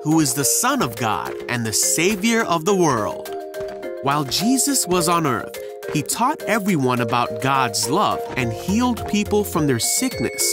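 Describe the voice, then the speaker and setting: A man reading the scripted narration of a children's Bible cartoon, telling the story in an even, clear way. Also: fairly high pitch, a little slow, over music.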